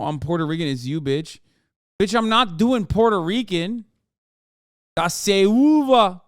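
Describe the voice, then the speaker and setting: A man with a fairly high, smooth voice reacts live to news, swearing freely and laughing at himself. The sound is dry and close. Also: no room sound. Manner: blunt, profane, animated and self-mocking. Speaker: streamer.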